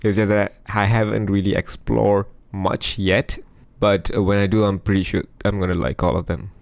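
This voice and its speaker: A man losing his voice, chatting casually through a music software demo over a screen recording. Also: fairly low pitch, flat delivery, normal speed, creaky. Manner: chatty, thinks aloud with fillers. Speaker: music production youtuber.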